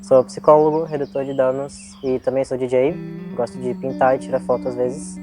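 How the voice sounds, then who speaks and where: A man's voice: medium pitch, level tone, moderate pace, music in the background. A man in his twenties with a Bahian Brazilian accent, giving a reflective, articulate interview answer in a spontaneous way, often adding little tag words. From Salvador, Bahia, Brazil. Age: 20s. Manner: reflective, articulate, frequent "né" tags. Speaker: interviewee, psychologist and DJ.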